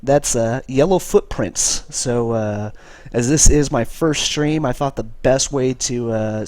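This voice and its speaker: A man's voice: medium pitch, no echo. Chatty man with a cold, dry mic. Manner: relaxed, chatty, many fillers. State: has a cold. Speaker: esports caster and stream host. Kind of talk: casual live game commentary.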